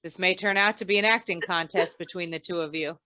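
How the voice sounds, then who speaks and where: A woman's voice: fairly low pitch, fairly quick, sharp tone. A woman playing a wry, hard-boiled insurance investigator in an old-time radio drama, with dry, tough delivery. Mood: cool, commanding.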